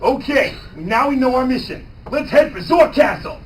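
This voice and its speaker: Man playing brash high-pitched young adventurer. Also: expressive, moderate pace. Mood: fired up. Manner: brash, exclamatory. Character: brash, cocky young male adventurer, loud and eager. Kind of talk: live dramatic manga reading. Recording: noisy distant live room recording.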